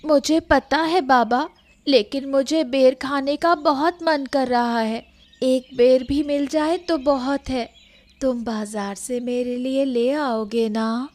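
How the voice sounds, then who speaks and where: A woman plays a young daughter in a cartoon moral tale. Her voice is high and she draws her words out slowly. She coaxes and pleads persistently. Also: fairly even intonation, darkish tone, dry recording. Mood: coaxing, pleading. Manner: coaxing, plaintive. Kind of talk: Hindi moral-story cartoon dubbing.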